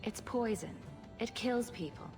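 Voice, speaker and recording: A woman playing a sarcastic, quick-witted rogue adventurer with a flippant manner in a video game. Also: fairly high pitch, over music. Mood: firm, sardonic. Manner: wry, flippant. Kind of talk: video game dialogue acting.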